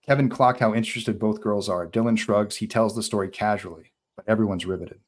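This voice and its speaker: A man's voice: fairly low pitch, fairly quick. A man reading scene directions as the narrator of a live script table read.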